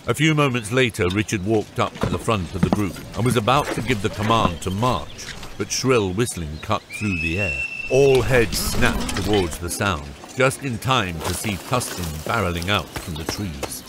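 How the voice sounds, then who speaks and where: A man's voice: lowish voice, expressive, moderate pace, few pauses, loud music behind the voice. A man narrating a fantasy audiobook from a script as its storyteller.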